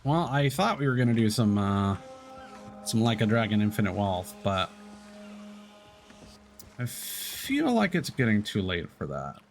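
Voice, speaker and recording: A man's voice: on the low side, very animated, a little slow, long pauses. Chatty man streaming a game. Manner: chatty, self-correcting, thinking aloud. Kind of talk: casual gameplay commentary.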